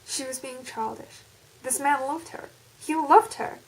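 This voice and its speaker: Woman doing an american lady storyteller, high voice, super dramatic and emphatic reading. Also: steady tone, normal speed, darkish tone, natural voice, low-quality recording. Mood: anguished, vengeful. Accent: put-on American. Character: female storyteller with American accent, intense and melodramatic.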